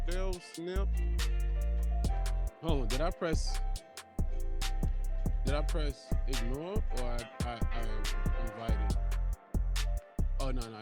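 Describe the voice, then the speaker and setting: Young-sounding male host, high voice, animated slangy banter over music. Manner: loud and animated, laughs a lot, slangy. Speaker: podcast host. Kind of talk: casual chat.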